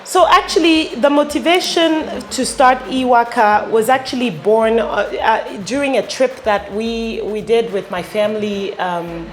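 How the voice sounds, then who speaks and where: A middle-aged woman from Ghana, a company founder, answering interview questions with many pauses and fillers. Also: on the high side, slight background noise. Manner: halting, many fillers and restarts. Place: showroom with electric bikes. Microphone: lapel.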